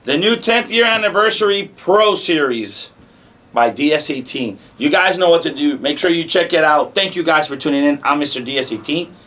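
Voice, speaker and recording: Male unboxing host, pitch a little above average, informal delivery with short repeated phrases, casual demo style. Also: expressive, normal speed, noisy background.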